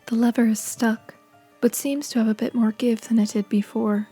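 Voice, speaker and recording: A woman narrating a horror adventure game in a calm, scripted second-person style. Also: fairly high pitch, normal speed, husky. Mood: calm, measured. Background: faint music.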